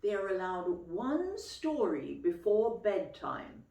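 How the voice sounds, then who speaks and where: A woman reading a picture book aloud to young children as a teacher would, expressively and clearly phrased, pausing to explain and ask questions. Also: mid-range voice, sing-song, dark tone. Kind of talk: children's storytime read-aloud with questions to listeners. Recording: roomy, echoey, distant microphone.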